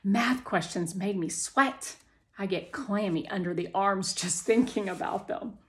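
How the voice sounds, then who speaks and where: A woman reading a children's novel aloud with expressive, deliberate storytelling phrasing. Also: mid-range voice. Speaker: audiobook reader.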